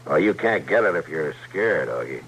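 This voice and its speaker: An actor playing a calm, wily frontier marshal with an American accent and a measured, dry, persuasive delivery in a radio drama. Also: male voice, very deep voice, heavily acted. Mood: coaxing. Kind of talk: old-time radio Western acting. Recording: slightly noisy vintage radio recording.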